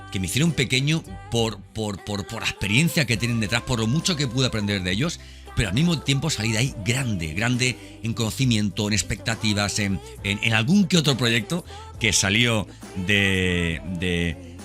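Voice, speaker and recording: A man's voice: on the low side, bright, forceful tone. A man with an accent from Spain giving a casual, enthusiastic podcast monologue, repeating words and often checking for agreement. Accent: Spanish, from Spain. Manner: conversational and enthusiastic, repeats words, seeks agreement with tag questions. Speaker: podcast host.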